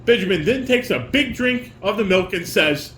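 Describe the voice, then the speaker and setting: A man's voice: sits high, lively intonation, normal speed, fairly bright tone. A man casually coaching a rehearsal as a father would, reading stage directions aloud and giving corrections. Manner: instructing, repeats and corrects lines. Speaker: father directing a home table read. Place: echoey room at home with fan and air conditioner. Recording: roomy echo, fan and air conditioner noise.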